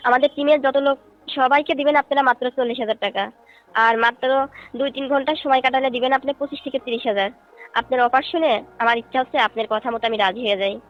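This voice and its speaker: A woman's voice: high voice, quick pace, lightly acted. A woman playing a courteous folk singer and troupe leader in a staged phone call, speaking in a polite, questioning, explanatory way. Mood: curious, tempted. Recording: dull phone-call audio with music behind.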